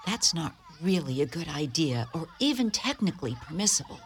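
A woman's voice: low-pitched, sing-song, fairly quick. A woman playing a devout, rule-bound social worker, formal and earnest, in a community radio play. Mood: uneasy, formal. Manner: formal, earnest, hesitant to bend rules. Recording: noisy radio studio recording.

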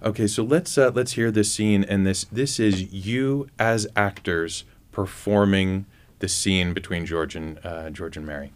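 A man with a fairly low, smooth voice conducts an interview. He sounds conversational and inquisitive, sometimes restarting a phrase as he shapes a question. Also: measured pace. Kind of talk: conversational radio-style interview.

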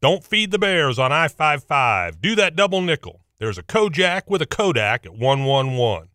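A man's voice: on the high side, big pitch swings, normal speed, sharp tone, no room sound, studio quality. A man playing a folksy 1970s trucker trading slangy, playful patter on CB radio. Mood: jaunty, playful.

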